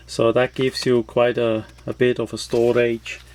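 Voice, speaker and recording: Slow, flat-toned man reviewing a product. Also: medium pitch. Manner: halting, hesitant phrasing. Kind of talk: casual unscripted product walkthrough.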